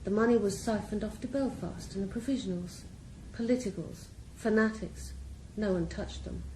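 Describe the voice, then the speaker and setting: A woman's voice: medium pitch, normal speed, dark tone, lightly acted. An actress in a radio drama playing a ruthless female drug boss, cool, composed and mocking. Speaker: criminal mastermind. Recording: roomy echo, faint background hiss.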